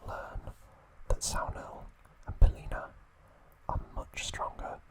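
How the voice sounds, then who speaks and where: A man whispering an ASMR review at home, gentle and relaxed, with a soft unvoiced whisper. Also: takes it slowly. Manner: gentle, relaxed, with occasional fillers. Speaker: ASMR channel host. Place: indoors at home.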